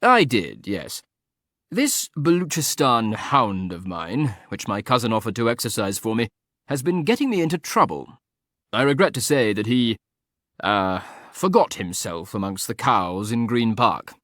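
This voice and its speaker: A man's voice: lowish voice, sing-song, a little slow, fairly bright tone, dry recording, studio quality. Man doing a languid, ironic lord. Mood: drawling. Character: lazy, ironic aristocratic gentleman.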